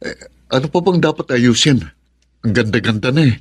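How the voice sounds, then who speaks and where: Assertive man, medium-pitched voice. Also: lively intonation, a little fast, breathy edge. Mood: exasperated. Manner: protesting. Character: adult male engineer boyfriend, exasperated, assertive. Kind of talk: Filipino radio drama acting.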